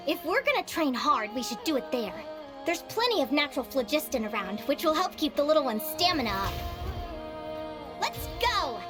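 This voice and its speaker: A high, lively young woman adventurer from a video game. She is cheerful and encouraging, with swooping, expressive intonation, and music plays faintly behind her. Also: moderate pace. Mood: eager. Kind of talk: animated video game voice acting.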